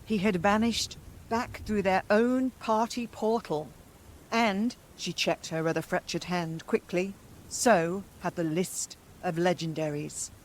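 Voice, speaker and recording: A woman narrating a story aloud as host, in a dramatic, expressive and theatrical scripted style. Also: on the low side, slow pace, a little hiss or hum, low-quality recording.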